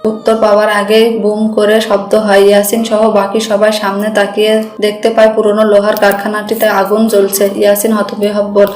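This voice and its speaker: Very animated woman narrator, roomy sound. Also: medium pitch, moderate pace, hardly any pauses. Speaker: story narrator. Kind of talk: dramatic scripted story narration. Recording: roomy echo with music bed.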